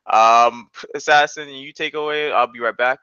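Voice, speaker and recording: A man's voice: mid-range voice, steady tone, moderate pace, bright, forceful tone, lo-fi sound, a little hiss or hum. A man hosting a podcast and chatting casually and informally about anime, giving his opinions. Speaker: podcast host.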